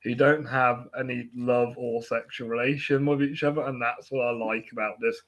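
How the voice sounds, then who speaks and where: A man's voice: medium pitch, level tone, normal speed, fairly bright tone, muffled recording. A young man co-hosting a film podcast in casual, conversational chat.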